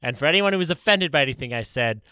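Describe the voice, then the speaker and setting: A man's voice: a little high, expressive, very fast, bright, forceful tone, smooth, no echo. A man co-hosting a comedy podcast with casual banter, speaking in an emphatic, theatrical stand-up comic's way.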